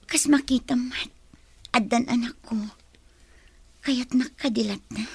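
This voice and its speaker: A woman's voice: a little high, measured pace, vocal fry, darkish tone, husky, no room sound, heavily acted. A woman acting a long-suffering, pleading young mother in an Ilocano radio drama, with a Filipino Ilocano accent. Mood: soft, hesitant.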